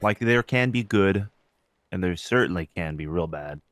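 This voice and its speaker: A low-voiced man chats as a podcast co-host in a dry, close recording. He is laid-back and agreeable, talking in loose slang with casual swearing. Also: lively intonation, normal speed, no room sound.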